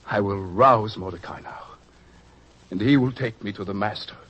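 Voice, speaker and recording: A man's voice: fairly low pitch, normal speed, music in the background, lightly acted. An actor playing an anxious, earnest father of a sick child in a 1940s radio drama, speaking urgently. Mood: worried. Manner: urgent, pleading. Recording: old radio recording with faint hiss.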